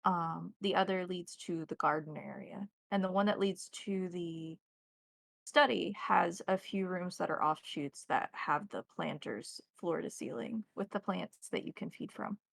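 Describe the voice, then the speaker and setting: A woman narrating a tabletop roleplaying game as game master, setting scenes in a descriptive, measured way. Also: on the low side, vocal fry, no room sound.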